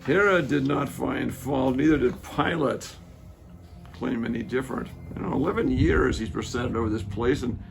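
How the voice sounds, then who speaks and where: A gravelly Roman soldier played by a man in a passion play, mid-pitched and pondering. He is proud and full of doubt, and weighs his words haltingly over faint music. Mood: pensive. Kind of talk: dramatic reading of a church passion play.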